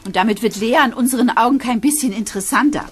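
A woman's voice: fairly high pitch, fast talker, lightly acted. An actress playing a sceptical, level-headed teenage schoolgirl in a radio play, frank and teasing in a matter-of-fact way. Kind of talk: German radio play acting for young listeners.

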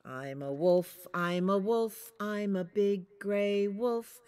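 A woman voicing a playful big gray daddy wolf in a storybook, chanting slowly in rhythm. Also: mid-range voice, a little mellow, pitched above the performer's own voice, lightly acted. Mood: sing-song.

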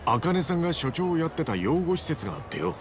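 A man playing an earnest, hot-blooded middle-aged hero in a game, with heartfelt, rough-edged phrasing. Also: a little high, sing-song, a little fast, background noise. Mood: determined. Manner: rough casual speech, heartfelt. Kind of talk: Japanese game voice acting. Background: music.

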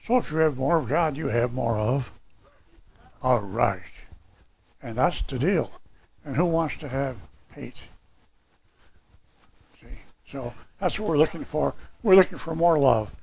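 A man in his sixties with a hoarse, raspy voice, preaching a sermon and reading scripture in a small church hall. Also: medium pitch, unhurried, pauses often, darkish tone. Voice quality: raspy, gravelly. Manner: gesturing, reading scripture aloud from papers. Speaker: pastor.

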